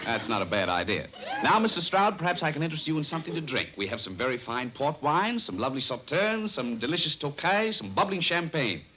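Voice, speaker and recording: A man's voice: fairly high pitch, sing-song, brisk. A man performing as an announcer and comic player in 1940s radio comedy. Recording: old radio recording, faint hiss.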